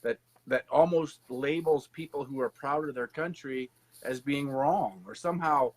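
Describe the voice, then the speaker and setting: A man's voice: mid-range voice, normal speed. A man interviewing a guest in a conversational interview, emphatic and opinionated.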